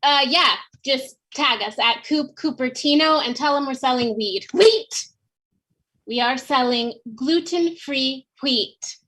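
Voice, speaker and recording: A woman's voice: high-pitched, slow, a bit bright, full character voice, poor audio. A woman playing an exasperated, sarcastic co-op worker in a comedy table read, pointed and confrontational. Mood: sardonic.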